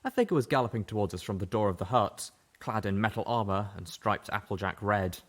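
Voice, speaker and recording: Low-voiced man reading a story aloud. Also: brisk. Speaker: audiobook reader. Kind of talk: scripted story narration.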